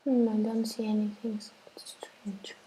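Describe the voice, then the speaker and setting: A woman's voice: medium pitch, level tone, takes it slowly, dark tone, husky, low-quality recording. A woman in her thirties from Russia giving a live webinar on web development from a small room, speaking hesitantly with many fillers and restarts. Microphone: webcam on a laptop.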